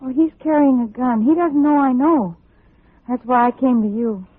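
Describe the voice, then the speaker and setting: A woman's voice: high voice, normal speed, dry recording. An actress playing an anxious, earnest woman of about twenty in a 1950s radio drama, timid and hesitant. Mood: pleading. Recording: tinny, dry old radio recording.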